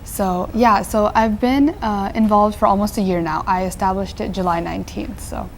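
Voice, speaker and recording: A woman's voice: mid-range voice, steady tone, moderate pace, slight background noise. A teenage girl from Nepal, a nonprofit founder answering interview questions earnestly, with frequent fillers and restarts. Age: teens.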